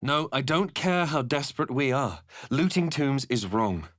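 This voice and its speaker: Video game fantasy adventurer, male: principled, wry and watchful, speaking in thoughtful remarks at an average male pitch. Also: sing-song, measured pace, fairly bright tone. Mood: wary. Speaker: player character voice actor. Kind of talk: video game companion barks.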